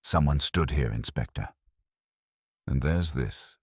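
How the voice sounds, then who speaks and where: A man's voice: rumbling low, animated, takes it slowly, breathy edge, no echo, studio quality, heavily acted. A man reading the part of an observant, eager female caretaker in a mystery story, emphatic and matter-of-fact. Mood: eager, insistent.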